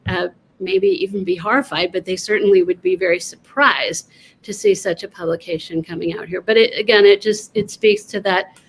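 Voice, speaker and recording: A woman presenting on an online panel, talking quickly with frequent ums and self-corrections. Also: medium pitch, vocal fry, poor audio. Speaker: panelist presenting prayerbook editing.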